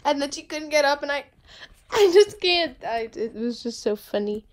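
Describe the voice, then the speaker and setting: A woman's voice: high voice, lively intonation, normal speed. A teenage girl telling a story casually to a vlog camera.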